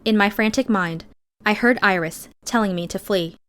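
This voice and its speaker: Female narrator, medium pitch, scripted first-person story, audiobook reading. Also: moderate pace, fairly bright tone, clear, resonant tone.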